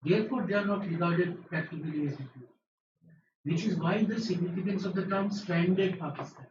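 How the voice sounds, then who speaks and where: A man's voice: high voice, a little fast. Male academic speaker, pointed replies, lo-fi noisy room recording. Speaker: speaker presenting a paper. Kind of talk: academic seminar discussion. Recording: roomy, distant, lo-fi hall recording with noise.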